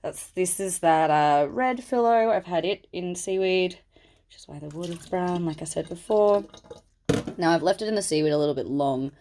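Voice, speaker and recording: A woman's voice: lowish voice, moderate pace, dark tone. A woman with a stuffy, snuffly voice from a cold, chatting casually at home as she shows her plants. She rambles, recorded on her phone camera. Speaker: plant vlogger. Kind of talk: casual chat while handling plants. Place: at home indoors.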